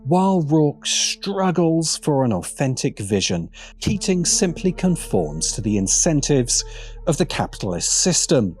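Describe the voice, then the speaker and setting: A man's voice: fairly high pitch, big pitch swings, measured pace, a little mellow, faint music behind. A man narrating a scripted video essay as its presenter.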